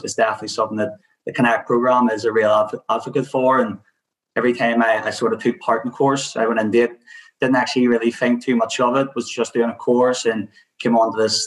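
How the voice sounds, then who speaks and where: Even-toned young Belfast man. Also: mid-range voice, low-quality recording. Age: young adult. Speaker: community football programme ambassador. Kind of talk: personal testimonial at an online forum. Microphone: video call.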